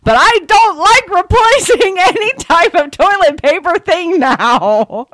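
A woman co-hosting a podcast in casual chat, animated and quick to exclaim. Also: very high-pitched, no echo, studio quality. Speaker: podcast co-host.

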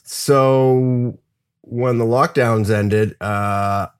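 A man's voice: medium pitch, fairly even intonation, drawn-out words, smooth, no room sound, clean studio sound. A man talking as a guest in a casual interview, candid and rambling, swearing now and then. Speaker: guest drummer.

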